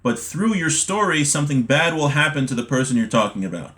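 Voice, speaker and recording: A man's voice: fairly high pitch, sing-song, brisk, fairly bright tone. Conversational American man teaching a class. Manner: conversational, explanatory, checks in with "right?". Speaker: religion teacher giving an online class. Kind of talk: informal text study, reading aloud then explaining with examples.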